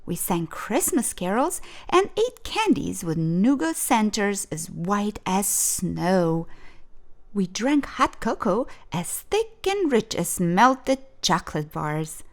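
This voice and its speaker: Slow, lively woman reading a storybook. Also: mid-range voice. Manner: deliberate, dramatic phrasing for children. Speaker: children's storybook reader, host. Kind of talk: expressive read-aloud storytelling.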